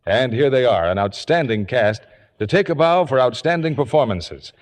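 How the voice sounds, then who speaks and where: A man's voice: medium pitch, animated, normal speed. A man announcing in scripted 1950s radio style, with a polished, emphatic sales delivery. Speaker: radio show host and announcer. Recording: echoey old radio studio stage.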